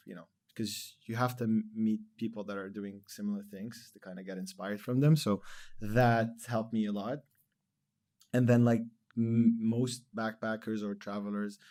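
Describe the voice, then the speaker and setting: Low, flat-voiced man in his thirties. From Lebanon. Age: 30s. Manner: relaxed, rambling with many fillers. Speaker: podcast guest. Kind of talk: casual interview chat.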